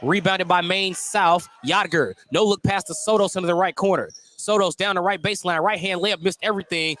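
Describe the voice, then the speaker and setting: A man's voice: sits high, fairly even intonation, a little fast, bright, forceful tone. A man doing live play-by-play basketball commentary on radio, calling each play in clipped, descriptive phrases. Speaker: play-by-play announcer. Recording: noisy live sports broadcast.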